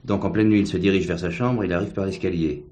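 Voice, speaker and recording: An actor playing a weary, irritable, bossy police detective in a televised stage drama. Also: male voice, low-pitched, steady tone, quick pace, a little mellow, lightly acted. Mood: brisk, deducing.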